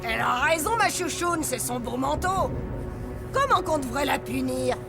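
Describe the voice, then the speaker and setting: A woman's voice: sits high, lively intonation, normal speed, gravelly, over music. A woman playing a cruel, coarse, scolding café owner's wife in a French cartoon. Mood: menacing, mean. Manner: scolding, coarse working-class speech.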